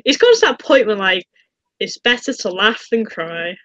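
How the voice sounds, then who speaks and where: A woman's voice: medium pitch, big pitch swings, moderate pace, fairly bright tone, no echo, dull audio. A young woman chatting casually and giving opinions in a rambling, informal way, often repeating phrases. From northern Britain. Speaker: podcast co-host.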